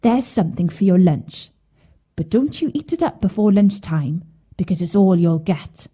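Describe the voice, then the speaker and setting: A woman's voice: fairly low pitch, expressive, normal speed, warm tone, husky. A woman voicing a bossy, scolding, hard-hearted mother in a children's storybook tale. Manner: bossy, exclamatory.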